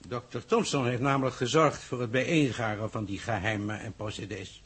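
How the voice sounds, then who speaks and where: Unruffled head of government, formal, measured, condescending irony, very husky sound, 1960s radio drama. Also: male voice, mid-range voice, fairly even intonation, fairly bright tone. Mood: suave, ironic. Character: unruffled, evasive, dryly ironic male head of government.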